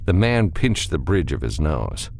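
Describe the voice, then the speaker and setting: A man's voice: very deep voice, sing-song, unhurried, vocal fry, a little mellow, slight background noise. A man reading scripted fiction as an audiobook narrator.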